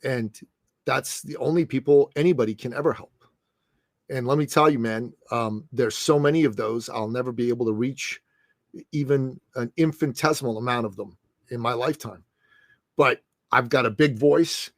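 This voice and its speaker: A man's voice: medium pitch, moderate pace, no echo. A man in his late fifties, a success coach, talking in a podcast interview in an emphatic, punchy way. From Middle East. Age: 50s. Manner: emphatic, punchy, calls host "brother" and "man".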